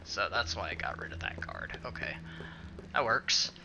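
A man's voice: on the low side, animated, normal speed, in a whisper, dull audio. A man giving casual let's-play commentary, muttering to himself and swearing mildly as he plays. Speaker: gaming video host.

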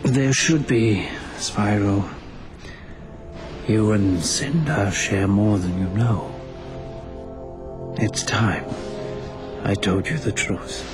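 An actor playing a wise old male dragon mentor, solemn and regretful, telling his tale in measured phrases for a video game. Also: fairly low pitch, over music. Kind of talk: dramatic video game cutscene narration.

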